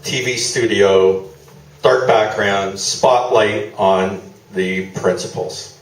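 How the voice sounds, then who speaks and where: A man's voice: lowish voice, steady tone, slow. A man introducing and announcing a live radio play on stage. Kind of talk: informal stage introduction, then scripted announcing. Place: small theatre, live performance. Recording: echoey room, distant mic.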